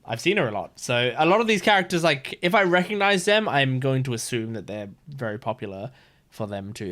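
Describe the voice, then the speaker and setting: A man giving casual reaction commentary in an expressive, exclamatory fan style, swearing casually. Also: medium pitch. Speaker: reaction video host.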